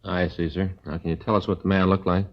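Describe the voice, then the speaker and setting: A low, quick-talking detective. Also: male voice, level tone. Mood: calm, considerate. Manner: understated, polite, businesslike. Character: quiet, polite, considerate male police detective. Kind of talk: 1950s radio crime drama acting. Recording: dull old 1950s radio recording.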